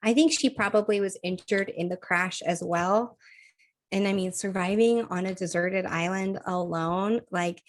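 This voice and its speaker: A woman co-hosting a podcast in casual chat, thinking aloud with fillers and frequent laughs, her voice a little croaky. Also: mid-range voice, unhurried. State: slightly croaky voice. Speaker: podcast co-host.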